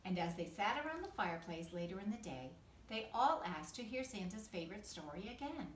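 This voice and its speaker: A woman reads a picture book to young children in a teacherly, expressive way. Her pitch moves widely as she tells it. The sound is echoey and picked up from a distance, with faint noise. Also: brisk. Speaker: pre-kindergarten teacher reading aloud. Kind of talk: expressive children's storybook read-aloud.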